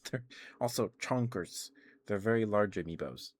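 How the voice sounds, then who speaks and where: A man chatting casually in an ASMR style as a live streamer, in a halting, gentle, rambling way. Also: fairly low pitch, expressive.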